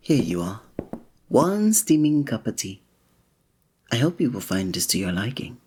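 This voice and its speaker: A man playing a flirty, breathy innkeeper. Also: mid-range voice, very animated, a little slow, a little mellow. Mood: amused. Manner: formal old-fashioned wording with chuckling asides. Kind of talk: ASMR roleplay.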